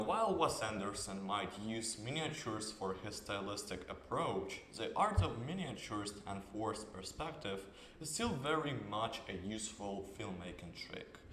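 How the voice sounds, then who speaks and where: A man's voice: lowish voice, a bit bright, slight background noise. A man reading scripted narration as the narrator of a video essay. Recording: music under the voice.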